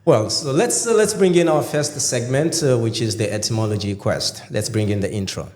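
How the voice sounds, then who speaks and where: A man's voice: mid-range voice, a little fast. Male podcast host, playful and chatty; roomy, reverberant sound. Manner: lively, playful, many fillers and restarts. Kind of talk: casual interview chat. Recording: echoey room with long reverb.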